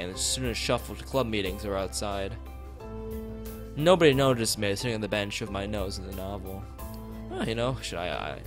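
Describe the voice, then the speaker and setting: A man with a fairly low voice reads visual novel text aloud as a streamer. He often trips over a phrase and says it again, and drops in casual asides. Music plays behind him. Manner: stumbles over read lines and repeats phrases. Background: background music.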